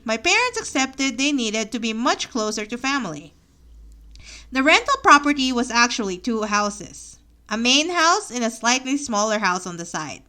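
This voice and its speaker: Bright-voiced Filipino woman reading her memoir. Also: fairly high pitch. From Philippines. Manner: reflective, earnest storytelling with rhetorical questions. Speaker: podcast host. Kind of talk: reading a personal memoir aloud.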